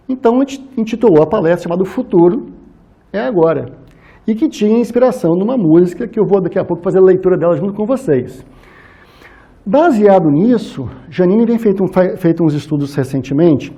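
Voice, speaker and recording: A man's voice: high-pitched, mellow, slightly rough. A man with a Minas Gerais Brazilian accent giving an informal, conversational religious lecture, often checking in with tag questions. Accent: Brazilian Portuguese, Minas Gerais. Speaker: speaker at a spiritist society.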